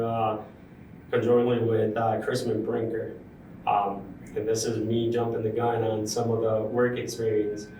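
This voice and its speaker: Brief: young man, interview guest, halting and thoughtful, distant mic in a roomy space with faint ambience. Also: medium pitch, a little mellow, a little hiss or hum. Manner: thoughtful, frequent ums, restarts. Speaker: construction project superintendent, guest. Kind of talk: casual interview conversation. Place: large room at a university center.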